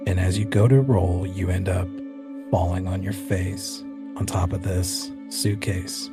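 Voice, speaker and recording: A man's voice: low-pitched, fairly even intonation, measured pace, music in the background. A man acting as game master, narrating a tabletop game with dramatic storytelling and casual banter.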